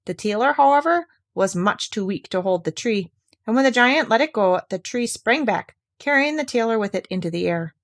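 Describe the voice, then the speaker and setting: A woman's voice: a little high, expressive, normal speed. Woman reading a fairy tale aloud. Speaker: storyteller, narrator. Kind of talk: scripted fairy-tale narration, read aloud.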